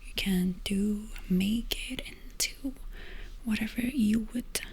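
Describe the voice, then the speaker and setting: Woman healer whispering slowly through an ASMR session, mid-pitched, loose and chatty with lots of fillers. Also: a little mellow, a little hiss or hum. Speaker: energy healer and ASMR creator.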